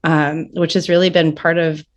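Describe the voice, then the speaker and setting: Low-voiced reflective woman co-host. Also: level tone, normal speed. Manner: reflective, uses many fillers like "like" and "um". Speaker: podcast co-host. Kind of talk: casual podcast chat.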